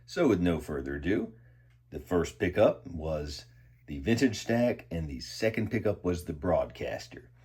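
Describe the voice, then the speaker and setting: A man talking casually and in a measured, conversational way as he hosts a guitar gear review. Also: low voice, big pitch swings, a little mellow, husky. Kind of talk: casual product review talk.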